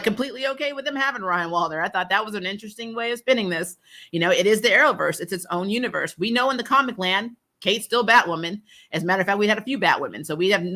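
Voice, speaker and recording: A woman's voice: medium pitch, sharp tone. A woman hosting a casual fan livestream, giving candid, emphatic opinions in slangy, chatty commentary. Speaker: host of a fan recap livestream.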